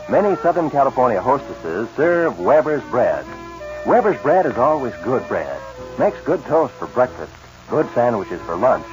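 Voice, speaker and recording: A man with an American accent reading scripted announcements and commercials in a 1940s radio style. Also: mid-range voice. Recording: muffled vintage radio broadcast, music behind.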